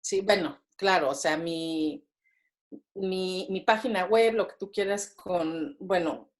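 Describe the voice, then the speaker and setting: A woman's voice: fairly low pitch, slow pace. Chatty Mexican woman guest. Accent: Mexican Spanish. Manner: chatty, full of fillers and tag questions. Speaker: podcast guest. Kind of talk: casual interview conversation.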